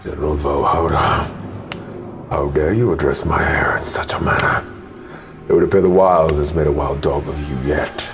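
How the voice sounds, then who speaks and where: An extremely low, commanding voice for an aging sorcerer king , acted by a man in a fantasy radio drama. He is cold and sardonic, measuring out each threat. Music plays clearly behind him. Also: steady tone, moderate pace. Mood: cold menace. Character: cold, menacing, imperious older male tyrant king with sorcerous power. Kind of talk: fantasy radio theater acting.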